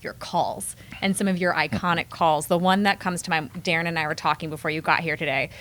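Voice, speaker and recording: A woman's voice: low voice, fairly even intonation, a little fast, fairly bright tone. A woman hosting a podcast, asking her guest questions in a casual, conversational way. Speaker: podcast host. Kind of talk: casual interview.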